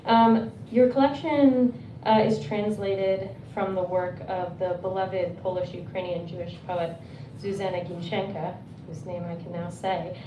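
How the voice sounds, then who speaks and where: A woman's voice: on the low side, fairly even intonation, unhurried, lo-fi sound. Woman moderator, friendly with ums, far from the mic, echoey room, background noise. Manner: friendly, with frequent fillers. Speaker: event moderator. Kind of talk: moderating a live Q&A. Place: bookstore event space. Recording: roomy, distant, noisy room sound.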